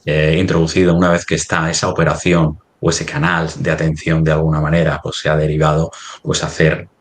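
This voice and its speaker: A man from talking business conversationally, halting and rephrasing as he goes, often ending on tag questions. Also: very deep voice, completely flat delivery. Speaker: company representative in a webinar.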